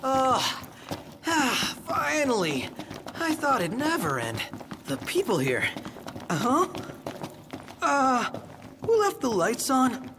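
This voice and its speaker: A man's voice: extremely high pitch, huge pitch swings, slow pace, long pauses, noisy background, full character voice. An actor playing a young man in a video game, informal, blunt, curious and given to grumbling. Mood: surprised, uneasy. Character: young male road-trip companion, casual, grumbling and curious. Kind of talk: video game cutscene acting. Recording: game soundtrack music behind.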